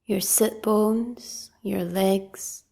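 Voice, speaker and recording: Slow, mellow woman guiding meditation. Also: mid-range voice, vocal fry. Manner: calm, gentle, unhurried with long pauses. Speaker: meditation teacher leading a guided practice. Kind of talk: guided meditation, slow spoken instructions.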